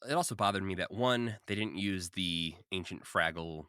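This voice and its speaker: A man's voice: low-pitched, normal speed, sharp tone, clean tone, dry recording, studio quality. A man co-hosting a podcast, casually recapping and commenting with a wry, joking manner. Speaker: podcast co-host.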